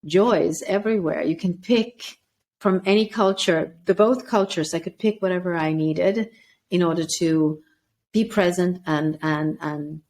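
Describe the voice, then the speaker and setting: A woman's voice: fairly low pitch, a little slow, darkish tone. A woman from Sweden, a podcast guest and author, telling her life story in an earnest, thoughtful way. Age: 40s or older. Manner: earnest, thoughtful, with frequent restarts. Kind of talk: reflective personal storytelling.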